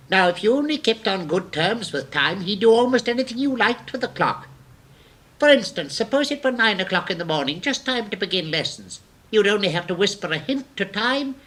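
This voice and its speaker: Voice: medium pitch, fairly bright tone, close to an everyday voice. An actor playing a brusque, argumentative mad hatter with a pompous, theatrical manner in a 1950s storybook recording. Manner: quick to contradict, pompous, theatrical.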